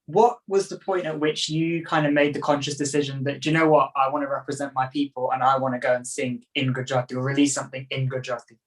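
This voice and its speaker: A man hosting a casual interview and asking relaxed, supportive questions. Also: mid-range voice, fast, hardly any pauses, far from the mic, rough recording. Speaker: podcast host.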